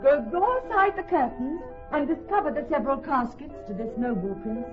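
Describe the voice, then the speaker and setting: An actress playing a poised, commanding young heiress in a classic Shakespearean radio drama. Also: female voice, high-pitched, big pitch swings, fairly quick, music in the background. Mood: formal, poised. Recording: muffled old radio recording.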